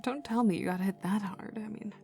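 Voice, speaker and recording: A woman voicing a cocky, teasing, gruffly protective young villain enforcer in an intimate ASMR roleplay, with a casual drawl that drops into whispers. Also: medium pitch, fairly quick, mellow, lightly acted. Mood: wry, caring. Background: ambient music.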